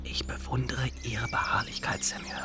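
An actor playing an earnest, doubting official inspector in a radio play, speaking in a formal, wordy, insistent way. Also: male voice, medium pitch, level tone, normal speed, whispered, over loud music, natural voice. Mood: formal, patient. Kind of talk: German radio play acting.

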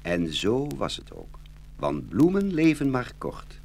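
A man narrating a scripted children's fairy tale in an expressive, dramatic storytelling manner. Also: medium pitch, takes it slowly, gravelly.

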